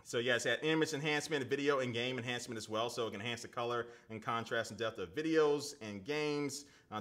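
A man in his thirties hosts a relaxed tech live stream from a desk studio at home. His voice is bright and forward, with a mid-range pitch. He talks loosely, fills his speech with "like" and "man", and answers chat as he goes. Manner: chatty, loose, lots of "like" and "man", reads viewer comments aloud. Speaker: tech reviewer.

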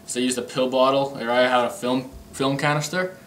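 A man's voice: mid-range voice, steady tone, fairly quick, a bit bright. A young man giving a casual hands-on show-and-tell in loose, informal, slightly hesitant phrasing, picked up by a camera microphone indoors. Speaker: hobbyist demonstrating a homemade gadget. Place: indoor room with a pool table. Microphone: camera mic an arm's length or more away. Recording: noisy amateur camera microphone.

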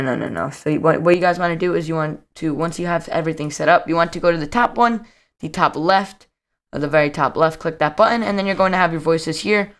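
Young man with a clear, smooth voice. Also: a little high, a bit bright. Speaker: video tutorial host. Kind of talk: casual software tutorial narration.

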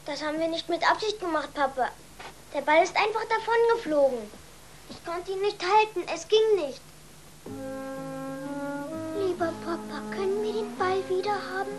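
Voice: very high voice, moderate pace, pauses often, over music, poor audio. A performer playing an earnest, insistent little farm boy in a children's radio play. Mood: apologetic. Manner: pleading, insistent.

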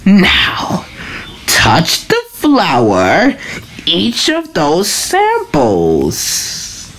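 A woman voicing a pompous, gloating male mad scientist with a creaky voice in an amateur comic dub. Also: on the low side, huge pitch swings, drawn-out words, fairly bright tone, slight background noise, exaggerated voice. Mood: taunting. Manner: pompous, commanding, taunting.

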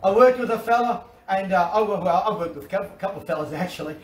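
Australian pastor preaching from afar. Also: male voice, high voice, fast talker, a little hiss or hum. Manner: animated preaching with repetitions and restarts. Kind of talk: sermon. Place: covered outdoor deck. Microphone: far camera. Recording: roomy and distant with faint ambience.

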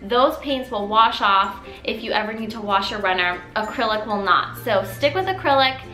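A woman's voice: medium pitch, pushed and bright, roomy sound. A young woman vlogging a chatty, encouraging DIY tutorial at home, her voice a little scratchy from a cold. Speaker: mom vlogger. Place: living room at home.

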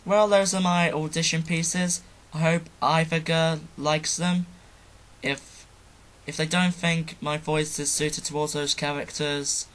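A man's voice: fairly high pitch, fairly bright tone. A man introducing and signing off his amateur voice audition.

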